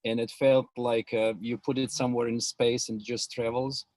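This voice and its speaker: A middle-aged man with a Croatian accent tells his background in a webinar interview, often pausing on "uh" and restarting his sentences. His voice sits at an average pitch, and the video-call sound is dry, with almost no room echo. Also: level tone, a little mellow. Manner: hesitant, many filler words and restarts. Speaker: guest expert.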